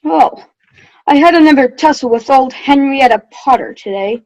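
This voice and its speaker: A tired, affectionate father, acted by a woman. The voice is somewhat high and dryly recorded, with a gentle, weary old-time radio drama delivery. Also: moderate pace, no room sound, muffled recording, close to an everyday voice. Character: tired, kindly father of a grown son.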